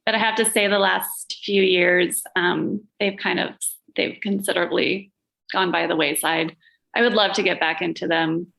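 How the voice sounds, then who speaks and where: A woman's voice: fairly low pitch, steady tone, normal speed, vocal fry, a bit bright, rough recording. A woman who learned Latvian at home as her first language, talking about herself in a casual interview with frequent little laughs and self-deprecating asides. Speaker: gallery owner and curator, podcast guest.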